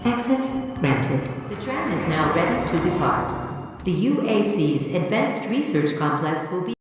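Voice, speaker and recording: A woman's voice: fairly low pitch, very animated, a little slow, distant mic. A woman voicing an impersonal facility computer in a video game, making clipped, official announcements with a public-address echo. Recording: echoey hall from afar, loud music.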